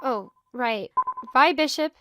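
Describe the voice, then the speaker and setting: Casting: young woman playing naive post-apocalyptic heroine, high voice, earnest and hesitant, over loud background noise. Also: lively intonation, slow pace. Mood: polite. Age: young adult. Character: naive, anxious young woman new to the wasteland. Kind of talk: post-apocalyptic audio drama acting.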